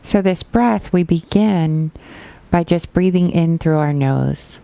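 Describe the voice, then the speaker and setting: Slow, low-voiced woman guiding meditation. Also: creaky, clear, resonant tone. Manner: gentle, unhurried instruction with pauses. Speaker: meditation teacher. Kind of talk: guided body scan meditation.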